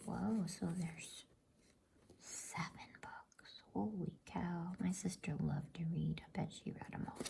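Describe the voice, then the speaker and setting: A woman whispers softly and breathily in a gentle, informal, unhurried way, hosting an ASMR bedtime reading. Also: on the low side, creaky. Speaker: bedtime story reader and host.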